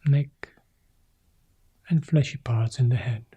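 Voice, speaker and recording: A man guides a meditation in a very dark, mellow voice. His delivery is calm and slow, in short phrases separated by long pauses. The tone is soft and breathy, with a husky edge. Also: medium pitch. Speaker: meditation teacher guiding a practice.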